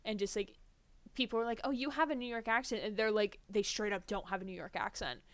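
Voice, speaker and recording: Chatty young woman, crisp dry voice. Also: mid-range voice, fast, dry recording. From New Jersey. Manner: chatty, rambling, many fillers. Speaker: streamer. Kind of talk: casual chat while gaming.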